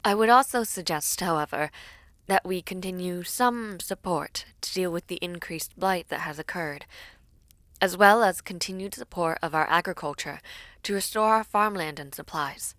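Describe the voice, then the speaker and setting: A woman playing a composed, loyal young princess in an audio drama, speaking in a measured, formal and courtly way. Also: fairly low pitch, fairly bright tone, dry recording, lightly acted. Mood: formal, diplomatic. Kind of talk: dramatic audio roleplay acting.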